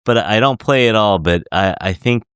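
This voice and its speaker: A man's voice: on the low side, normal speed, a bit bright, clear, resonant tone, dry recording, clean studio sound. A man hosting a podcast interview, chatty and giggly, asking long rambling questions. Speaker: podcast host. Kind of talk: casual interview.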